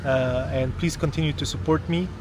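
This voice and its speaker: A man from Canada speaks casually to his viewers in a slightly high voice. He explains things earnestly and with emphasis, asking rhetorical questions as he goes. Faint outdoor city noise and vehicles can be heard behind him. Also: steady tone, normal speed. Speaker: vlogger, history content creator. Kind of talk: casual explanatory vlog to camera.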